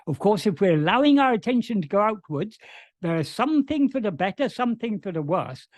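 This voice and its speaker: A man's voice: sits high, very animated, fairly quick, no room sound. A man on a video call, answering questions about spiritual teachings in an earnest way, often restarting his sentences. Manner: earnest, frequent restarts and stammered starts. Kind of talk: spoken explanation of spiritual teachings.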